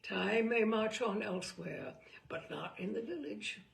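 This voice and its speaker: A woman reading a humorous book aloud as a storyteller, in a deliberate, theatrical and wry manner. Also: mid-range voice, gravelly. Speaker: reader of humorous book selections. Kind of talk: dramatic storytelling read-aloud. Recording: distant microphone.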